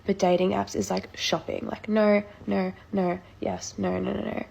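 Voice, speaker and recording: A woman's voice: mid-range voice, flat delivery, normal speed, vocal fry, darkish tone, breathy edge. A woman chatting casually to a phone camera, with many likes.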